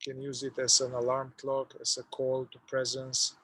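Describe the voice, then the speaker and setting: A man leading a guided meditation, speaking in an unhurried, halting way with long pauses. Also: mid-range voice, monotone, a bit bright, husky, low-quality recording.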